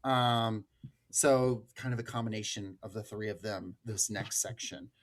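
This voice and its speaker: A man's voice: on the low side, a little slow. A man hosting a podcast, talking informally and slangily in a casual style of political chat and storytelling. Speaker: podcast host.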